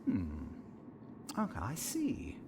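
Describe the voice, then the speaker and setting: A probing therapist in an audio drama, acted by a man, with a wildly animated, swooping delivery. He is smug and insinuating, needling with leading questions as if he knows everything. Faint noise sits behind the voice. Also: fairly high pitch, slow pace, exaggerated voice. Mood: clinical, probing. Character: probing, needling male therapist, smug and eerily all-knowing. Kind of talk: audio fiction dialogue.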